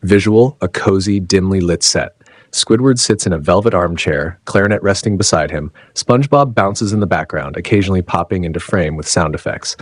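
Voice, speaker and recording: Man, low pitch, husky, scripted audio-drama narration, dry close recording. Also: fairly quick, no echo.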